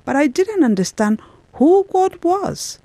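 Female interpreter, even and measured. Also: high-pitched, dry recording. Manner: measured, plain delivery. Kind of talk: first-person interpreting.

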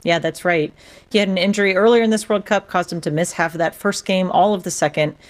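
Female radio sports reporter with a slightly low voice, explaining events conversationally. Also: fast.

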